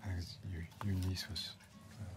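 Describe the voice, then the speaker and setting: A middle-aged man playing a shifty, awkwardly neighborly backwoods man in a quiet, deadpan, halting ASMR roleplay. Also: deep voice, close to an everyday voice. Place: dim indoor room. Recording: close recording with music behind.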